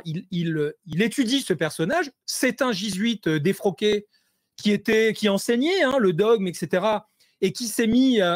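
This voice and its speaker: High-voiced, hesitant man on a call. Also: no room sound, studio quality. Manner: hesitant, many fillers and restarts; animated, rising intensity when making points. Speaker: interview guest, entrepreneur. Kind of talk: conversational interview analysis.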